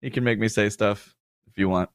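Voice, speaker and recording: A man's voice: lowish voice, steady tone, a little fast, no echo, studio quality. A man in his thirties, a show co-host, chatting casually on a livestream with frequent fillers and laughs.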